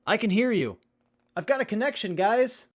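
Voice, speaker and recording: A man acting as an earnest, capable young survivor with a measured, methodical manner in a post-apocalyptic audio drama. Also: sits high, lively intonation, husky. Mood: excited.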